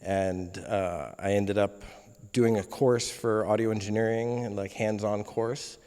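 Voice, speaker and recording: A young man from Indonesia, a film editor being interviewed, talking casually with many pauses and fillers. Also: lowish voice, fairly even intonation, dark tone, clear, resonant tone. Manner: hesitant, many fillers and restarts. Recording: long reverb on voice.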